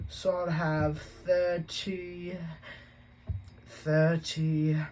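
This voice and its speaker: A man doing a slow, sulky spoiled boy. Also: a little high, level tone, a little mellow, pitched above the performer's own voice. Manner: slow, whiny.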